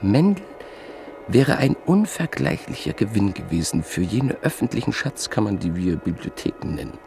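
A man narrating a classic literary radio play in a measured, reflective way that sometimes sinks to a near whisper. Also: fairly low pitch, big pitch swings, vocal fry, a little mellow, faint music behind.

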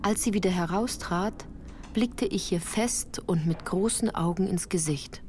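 A woman's voice: lowish voice, a little slow, a little mellow, slight background noise. A woman reading scripted first-person literary narration for a radio play in a composed, articulate storytelling manner.

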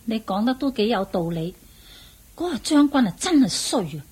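A woman's voice: a little high, very animated, normal speed, a little mellow, slight background noise. A woman with a Hong Kong Cantonese accent playing a motherly, scheming palace cook in a radio drama, chatty and coaxing. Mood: consoling, conspiratorial.

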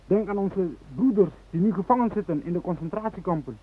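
An actor in a radio play playing a fanatical, impatient militant hostage-taker who speaks in an emphatic, agitated, declamatory way. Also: male voice, high voice, a little fast, narrow, tinny audio, natural voice. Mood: fervent. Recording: thin, noisy old radio recording.

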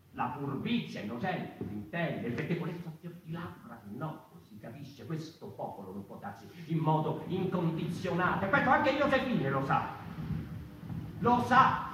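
A man playing an agitated narrator of the mouse folk in a theatrical monologue, insistent and halting, repeating phrases with rhetorical asides. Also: high-pitched. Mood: musing, doubtful. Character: agitated mouse-folk narrator, by turns tender, scornful and defiant. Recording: echoey, noisy stage recording from far off.

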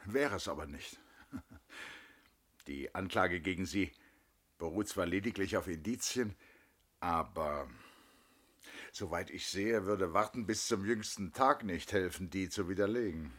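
An actor in a German radio play playing a sober, wryly candid defence lawyer with a deliberate manner. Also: male voice, sits low, a bit bright. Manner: deliberate, wry little chuckles, hemming.

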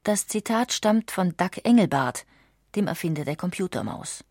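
Low-pitched woman narrating a documentary. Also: animated, moderate pace, darkish tone, husky, no room sound, clean studio sound. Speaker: audiobook narrator.

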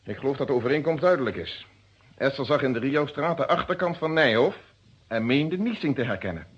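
A male police inspector in an old detective radio play. He is methodical and unyielding, wording things formally and precisely in a husky voice. The recording is dull and lo-fi. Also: mid-range voice, expressive. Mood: insistent. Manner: formal, precise, deliberate phrasing. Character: methodical, unyielding police inspector questioning his own friend. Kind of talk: Dutch detective radio drama acting.

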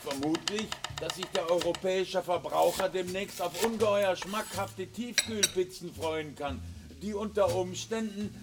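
An actor playing a knowing, dryly ironic researcher friend in a radio drama, explaining things sardonically. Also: male voice, sits high, measured pace, loud music behind the voice. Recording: clear sizzling kitchen noise.

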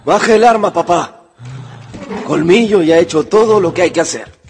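A devoted, affectionate young man in a radio play. His voice sits fairly high, and soft music plays behind him. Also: normal speed, vocal fry. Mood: anguished. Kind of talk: radio drama acting.

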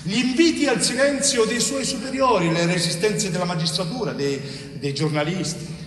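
High-pitched, anguished wronged man. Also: expressive, normal speed, fairly bright tone, slight background noise. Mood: anguished, accusing. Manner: intense, incantatory, repeating phrases. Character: wronged middle-aged innocent man, anguished, bitter, weary. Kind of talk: dramatic theatre monologue. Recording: echoey theatre hall, distant mic, music.

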